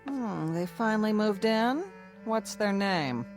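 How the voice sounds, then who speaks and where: A bossy, contemptuous wife who never stops finding fault. Her delivery is sarcastic and highly animated, swinging widely in pitch. It is radio drama, with faint music behind the dialogue. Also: takes it slowly. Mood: scornful, bitter. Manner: scolding, sarcastic, complaining. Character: shrill, domineering, bigoted nagging wife, former model.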